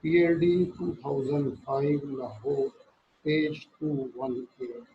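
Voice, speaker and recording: A man's voice: a little high, takes it slowly. A man with a Pakistani accent giving an explanatory lecture, reading passages out and explaining them, switching between Urdu and English. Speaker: law lecturer. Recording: dull, lo-fi muffled sound.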